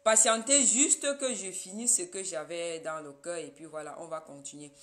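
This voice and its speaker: A woman's voice: fairly low pitch, moderate pace, fairly bright tone. A woman from Cameroon, a Yemba speaker, hosting a casual live stream and talking straight to her viewers.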